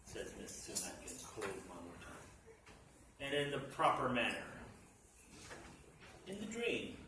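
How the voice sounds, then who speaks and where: A man reading a comic short story aloud at a live reading, with theatrical, deliberate emphasis. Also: medium pitch. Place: library function room at a live reading. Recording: echoey room, distant mic, noisy.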